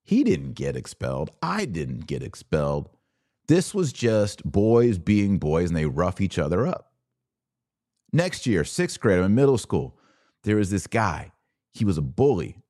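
Animated middle-aged American man, slightly low voice. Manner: emphatic, dramatic pauses, stressing key words. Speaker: podcast host. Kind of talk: informal solo podcast monologue with personal storytelling.

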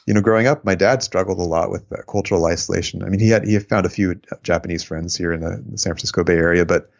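An American man recalling his time abroad in an interview, reflective and unhurried, with frequent fillers. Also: low-pitched, fairly even intonation, gravelly, muffled recording. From United States. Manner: reflective, unhurried, many fillers, laughs at own stories. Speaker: interviewee, former volunteer abroad. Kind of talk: oral history interview.

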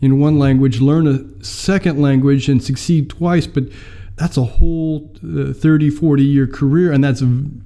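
American man, very dark mellow voice, measured storytelling, fillers, fifties. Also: fairly high pitch, steady tone. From United States. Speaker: mission mobilizer, guest. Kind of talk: personal testimony in an interview.

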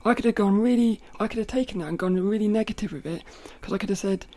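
A woman with a rough, cracking voice, hosting a podcast and thinking aloud in a rambling way, often laughing. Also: medium pitch, fast, dark tone, no room sound. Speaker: podcast host. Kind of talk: casual reflective monologue.

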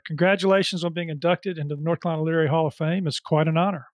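Chatty podcast host, higher-pitched man. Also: steady tone, rapid-fire, no echo. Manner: loose, chatty, filler-heavy. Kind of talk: podcast interview.

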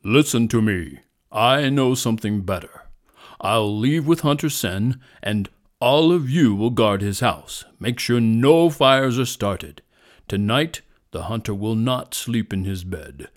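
Man voicing a booming, deep, mighty lion king. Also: lively intonation. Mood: commanding. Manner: solemn, slow, authoritative proclamations.

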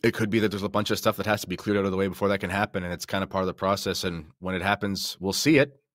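A man's voice: deep voice, racing, fairly bright tone, dry recording, studio quality. A middle-aged man talking as a podcast guest in a casual, conversational way. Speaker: podcast guest and audiobook narrator. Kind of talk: casual discussion.

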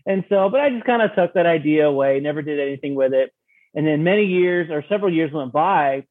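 Higher-voiced man on a tinny, phone-like line. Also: expressive, brisk, no room sound. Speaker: podcast guest, audio drama creator. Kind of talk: casual storytelling in interview. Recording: thin, narrow phone-like sound.

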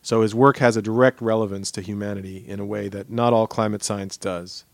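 Low-voiced man hosting a podcast, dry sound. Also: steady tone, a little fast, gravelly, no room sound. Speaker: podcast host interviewing a guest. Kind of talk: scripted podcast introduction and conversational interview.

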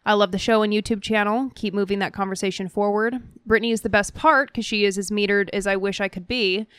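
A woman co-hosting a podcast, reading listener messages aloud in a measured, articulate manner. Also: mid-range voice, steady tone, a bit bright, clear, resonant tone, dry recording, studio quality. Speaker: podcast co-host.

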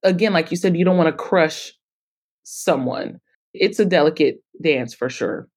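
A woman's voice: low voice, lively intonation, moderate pace, a little mellow, slightly rough. A woman speaking as a guest in a conversational podcast interview, explaining her points emphatically. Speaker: guest author and anthology publisher.

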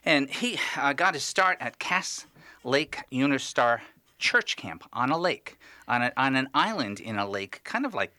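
A man co-hosting a radio talk show in a studio, speaking haltingly with many restarts. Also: mid-range voice, big pitch swings, bright, forceful tone, dry recording. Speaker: radio co-host.

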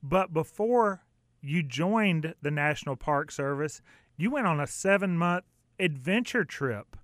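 Higher-voiced man hosting a radio interview. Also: animated, measured pace, a bit bright, no echo, studio quality. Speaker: radio host.

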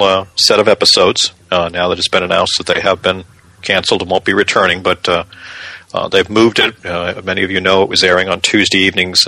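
A man's voice: low-pitched, sharp tone, no room sound. A man co-hosting a podcast, explaining news items in casual, conversational chat. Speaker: podcast co-host.

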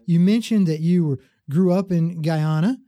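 A man hosts a podcast, asking questions in a friendly, steady way with the odd filler. His voice sits a touch high but sounds very mellow and dark. The recording is dry, with no echo. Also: normal speed. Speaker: podcast host. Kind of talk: interview hosting.

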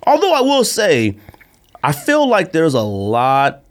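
Man debating sports with animated pitch. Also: slow. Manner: repeats himself for emphasis. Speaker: podcast co-host.

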